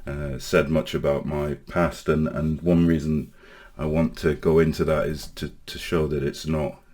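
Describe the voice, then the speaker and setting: A man in his forties from Northern Ireland speaks in a very deep, low voice, his accent now mostly English. He talks in a halting, hesitant way, restarting phrases often, and his tone stays flat and even. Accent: English with Northern Irish traces. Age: 40s. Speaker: online safety vlogger. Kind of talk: personal storytelling to camera.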